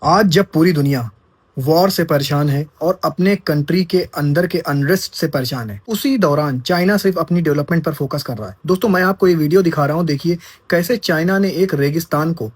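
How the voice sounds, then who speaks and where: A man's voice: fairly high pitch, fairly quick, husky, no echo. A man giving casual news commentary, talking straight to his viewers as friends and asking rhetorical questions.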